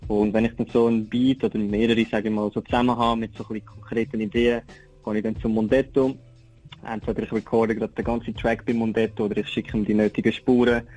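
A man's voice: fairly low pitch, level tone, quick pace, a little mellow, faint music behind, poor audio. A man with a Swiss German accent giving a casual interview as a rapper, talking loosely with English slang mixed in. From Aargau, Switzerland. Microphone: telephone.

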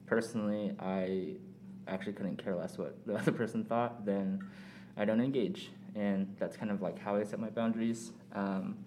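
A young man born in South Korea speaks as a podcast guest. His voice is low and mellow, with a flat, even delivery. He talks thoughtfully, pausing often and filling gaps. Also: slight background noise, rough recording. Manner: thoughtful, measured, many pauses and fillers. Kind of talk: casual reflective conversation.

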